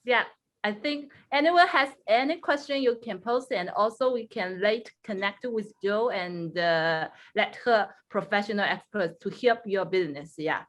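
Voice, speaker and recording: A woman moderating an online webinar, handing over between speakers with brief, halting remarks. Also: medium pitch, fairly bright tone.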